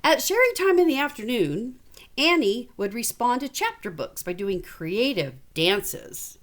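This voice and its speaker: A woman's voice: a little high, sing-song, a little slow. A woman, an elementary school teacher, reading a storybook aloud with folksy, informal asides and encouragement. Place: small space away from school, at a little desk.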